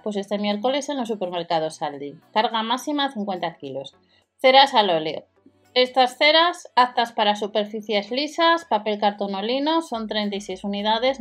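A woman giving a matter-of-fact voice-over of store deals, listing prices and sizes. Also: mid-range voice. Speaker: deal-video host. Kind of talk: voice-over product walkthrough.

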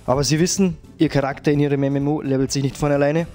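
A man's voice: a little high, steady tone, fast, warm tone, faint music behind, natural voice. Man doing an emphatic infomercial announcer. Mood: salesy. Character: upbeat male TV infomercial announcer selling energy drink. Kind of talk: parody commercial voice-over.

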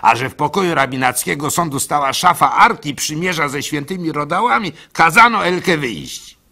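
An actor playing a gullible, soft-hearted village baker who tells his story in a halting, plaintive way for a radio play. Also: fairly high pitch, lively intonation, full character voice. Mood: indignant, recounting. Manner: halting, stammering, plaintive, swinging between hushed and loud. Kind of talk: dramatic first-person storytelling for a radio play.

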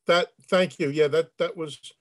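A deadpan man asking questions as a panel participant on a video call. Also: on the high side, a little slow, no room sound. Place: indoors on a group video call.

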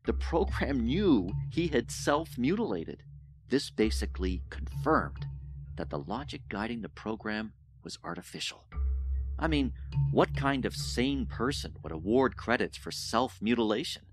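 A man's voice: medium pitch, sing-song, unhurried. An actor playing an older male professor in an audio drama, lecturing in formal, condescending, coldly rational tones. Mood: defensive, emphatic. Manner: pompous, lecturing, formal wording. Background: music.

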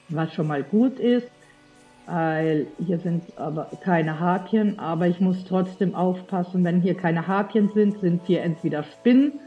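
A woman's voice: fairly low pitch, lo-fi sound. A young woman with a slight cold chatting casually to her viewers while playing a game, rambling with lots of fillers. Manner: addresses viewers directly. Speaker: let's play streamer. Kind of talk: casual gameplay commentary.

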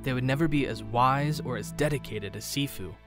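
A man playing a kind, earnest teenage boy in an animated fan drama, with a gentle, playful, conversational manner. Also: medium pitch, brisk, over music, lightly acted. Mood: earnest, reassuring. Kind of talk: fan visual novel voice acting.

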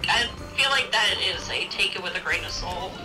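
A woman's voice: mid-range voice, animated, moderate pace, recorded from a distance. An American woman chatting casually over a voice call during a game, blunt and teasing. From Washington, United States. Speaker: guest player in voice chat. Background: video game music.

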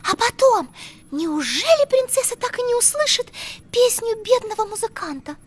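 A woman's voice: extremely high pitch, normal speed, a little hiss or hum. A woman voicing a sweet, curious little girl animal in a cartoon, wondering and romantic in manner. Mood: curious, dreamy. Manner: wondering, dreamy, expressive.

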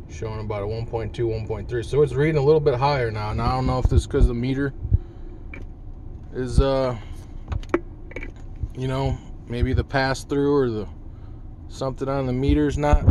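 A man giving a casual hands-on demonstration in a folksy, plain-spoken way, recorded on a handheld device inside a vehicle. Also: medium pitch. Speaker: vlogger demonstrating CB radio gear. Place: inside a parked vehicle cab. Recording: handheld recording with car cabin noise.

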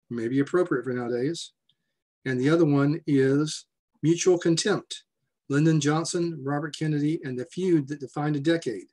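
A man's voice: a little high, a little mellow. Older man hosting a video call symposium. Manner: loose, joking, with filler words. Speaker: society president hosting a symposium. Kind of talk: casual conference welcome and introduction. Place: home room, indoors.

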